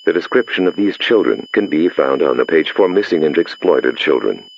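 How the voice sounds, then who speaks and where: Casting: man as stern automated emergency announcer, very low and gravelly, dull radio sound with faint hum. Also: animated, moderate pace, heavily acted. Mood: stern, formal. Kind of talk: formal scripted emergency alert.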